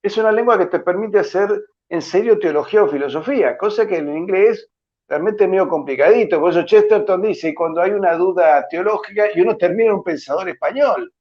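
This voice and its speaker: Male host, slightly high voice, brisk and chatty, dull lo-fi audio. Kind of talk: casual interview chat.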